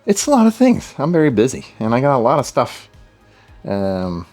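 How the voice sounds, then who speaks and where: A man's voice: medium pitch, wildly swooping pitch, moderate pace, a little mellow, soft background music. Swedish man working in his garage. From Sweden. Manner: talks to viewers while working, thinking aloud. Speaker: car project vlogger. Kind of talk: casual garage work commentary. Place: home garage.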